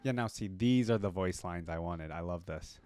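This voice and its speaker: A man with a fairly low voice hosts a reaction video. He comments casually on video game audio, chatty and rambling as he thinks aloud. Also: moderate pace, a little mellow, smooth, faint music behind.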